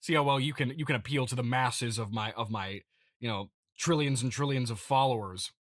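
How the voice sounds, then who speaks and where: A man improvising a comedic monologue as a smug, petty billionaire boss, speaking in a halting, rambling, mock-pompous way. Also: medium pitch, no echo, clean studio sound. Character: smug, petty billionaire CEO boasting to investors. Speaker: comedy podcast host.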